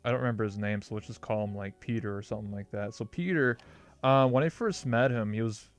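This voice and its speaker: A man's voice: fairly low pitch, quick pace, faint music behind. A young man hosting an interview, telling a story casually and rambling, with "like" in nearly every phrase. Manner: rambling storyteller, heavy use of "like". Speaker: interview host. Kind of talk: casual chat.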